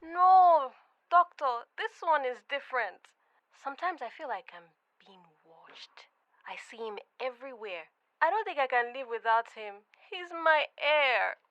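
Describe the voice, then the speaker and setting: A man voicing a distressed, heartbroken young woman caller, speaking softly and breathily in a high voice. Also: lively intonation, measured pace, no echo, dull audio, exaggerated voice. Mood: desperate.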